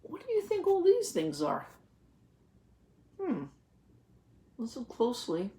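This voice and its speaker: A woman in her thirties reads a picture book aloud as a teacher for young children. She speaks gently and pauses to ask questions and explain words in simple terms. She is close to a webcam in a small home office. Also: high voice, huge pitch swings.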